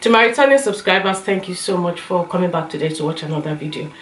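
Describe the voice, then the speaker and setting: A woman with a slightly hoarse voice, chatting casually as a vlogger giving her opinions and telling anecdotes. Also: lowish voice, fast talker, slight background noise. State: slightly hoarse, recovering from a cough. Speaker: YouTube lifestyle and beauty vlogger. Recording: roomy echo, light music underneath.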